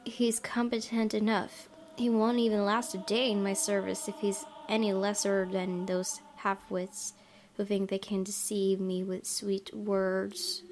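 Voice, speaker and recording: A woman hosting a gaming video, reading visual novel narration aloud in an expressive storytelling way. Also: mid-range voice, unhurried. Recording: clear background noise.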